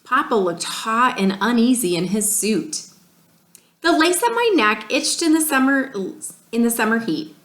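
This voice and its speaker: Female schoolteacher, higher-pitched, reading to children with expression; reverberant room. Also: measured pace. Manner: expressive, addressing children directly. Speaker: third grade teacher reading aloud. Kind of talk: storybook read-aloud. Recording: echoey room.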